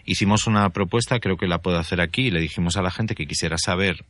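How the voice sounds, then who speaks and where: A man's voice: sits low, fast talker, fairly bright tone, dry recording. A man, a leadership researcher explaining his work earnestly in an interview, with many restarts.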